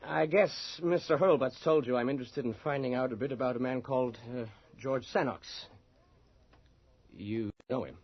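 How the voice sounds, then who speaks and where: A man's voice: mid-range voice, moderate pace, lightly acted. A male actor playing a courteous, ingratiating and persistent newspaper reporter in a radio drama. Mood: polite, probing. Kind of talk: 1980s radio drama acting. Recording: muffled old radio broadcast.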